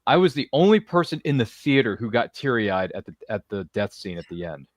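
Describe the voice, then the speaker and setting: A man's voice: lowish voice, big pitch swings, brisk, dry recording, clean studio sound. A man co-hosting a casual discussion, telling stories in an emphatic, colloquial way with theatrical asides. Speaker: podcast co-host, film critic. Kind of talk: casual chat, storytelling.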